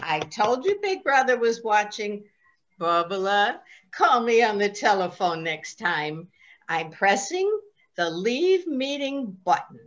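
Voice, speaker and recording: Dull-sounding recording, woman acting as affectionate, dry-witted elderly grandma, slow and very expressive. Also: mid-range voice. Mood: gently scolding. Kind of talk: reader's theatre comedy.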